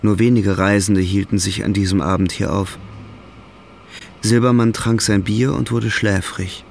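Man, scripted drama narration, low pitch, gravelly fry, faint music. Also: fairly even intonation, measured pace, a little mellow, a little hiss or hum.

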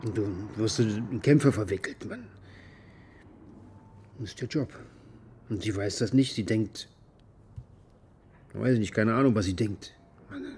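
An actor playing a bitter, maimed former military contractor who rambles coarsely and defensively in a naturalistic radio drama monologue. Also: male voice, fairly low pitch, a little slow, pauses often, darkish tone, a little hiss or hum. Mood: bitter, brooding. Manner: coarse, defensive, agitated rambling with rhetorical questions.

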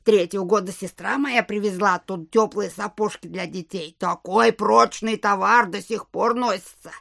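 A woman's voice: medium pitch, moderate pace, creaky. An actress playing an elderly provincial landlady in an audio drama, chatty and folksy, simple and covetous, with a wheedling way of talking. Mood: chatty, admiring.

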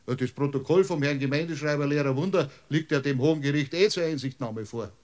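A folksy Bavarian village mayor in a radio drama , played by a male actor, with a slightly high-set male voice. He rambles as a witness, evasive and stubbornly literal, circling around every point in broad regional speech. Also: fast, a little mellow, natural voice. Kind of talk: radio play acting.

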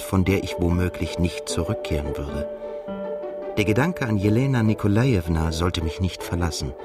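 Radio play narrator: actor as introspective writer, low male register, measured literary delivery, music underneath. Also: natural voice. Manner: measured, reflective. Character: introspective male émigré writer recounting his love affair. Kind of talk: literary first-person narration in a radio drama. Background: prominent music.

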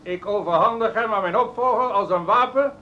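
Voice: medium pitch, brisk, close to an everyday voice. An actor playing a combative, indignant politician giving a declamatory farewell speech in a radio play, heard on tape. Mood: indignant, defiant. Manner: declamatory, forceful. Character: Slager, combative, indignant politician and departing broadcasting chair. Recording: noisy, dull tape recording of speech.

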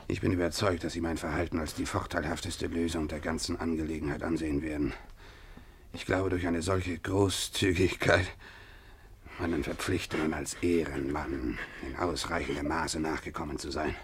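An actor playing a lovesick, obsessive young gambler in a German radio drama, with urgent theatrical delivery. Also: male voice, rumbling low, steady tone, normal speed, husky, full character voice. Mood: reading gravely. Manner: theatrical, urgent, breathless whispers to outbursts. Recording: noisy vintage radio play recording.

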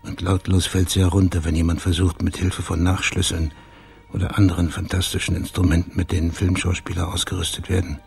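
A man's voice: low voice, level tone, fairly quick, vocal fry, a little mellow, soft background music. An actor playing a wry, laconic private investigator and former policeman in a radio crime drama. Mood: brooding, watchful. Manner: dry, laconic, understated. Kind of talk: radio crime drama acting with narrated inner monologue.

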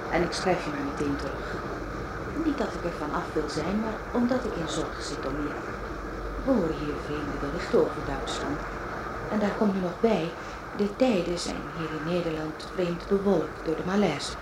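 A woman's voice: lowish voice, normal speed, natural voice. Echoey, noisy radio play: actress as worried, loving Dutch woman voicing a letter. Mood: concerned. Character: anxious, caring woman writing from Holland to her Harmpje. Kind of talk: radio drama letter reading.

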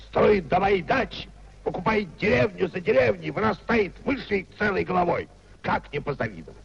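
Casting: proud ruined aristocrat, older, gravelly and husky, theatrical swings of pitch, old radio drama. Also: male voice, slight background noise, full character voice. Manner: theatrical, declamatory, indignant. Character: proud, bitter, ruined older nobleman raging at his wife. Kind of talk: 1950s Russian radio stage acting. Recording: dull, muffled 1950s radio recording.

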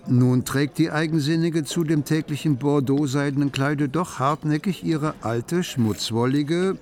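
An actor narrating a literary radio play as a storyteller. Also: male voice, fairly high pitch, unhurried, a little mellow, natural voice.

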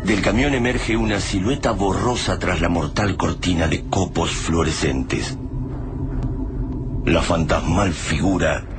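A man's voice: low-pitched, unhurried, over music. A man narrating a radio drama as a grave, ominous storyteller. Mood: solemn. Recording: clear noise and ambience behind.

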